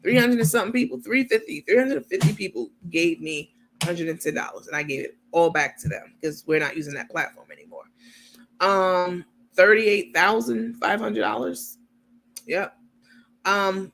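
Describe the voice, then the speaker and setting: Casual stream host, male, high and gravelly, chatty step-by-step explainer, lots of ums. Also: normal speed, fairly bright tone, slight background noise. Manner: chatty, explains step by step while sharing screen; many filler ums, gets irritated at small details. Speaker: channel host. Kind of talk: casual livestream talk to viewers.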